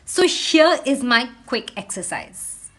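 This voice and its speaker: Female teacher for children's read-aloud. Lively, swooping intonation, slow deliberate delivery, instructional and expressive. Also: high-pitched. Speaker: teacher reading a story aloud.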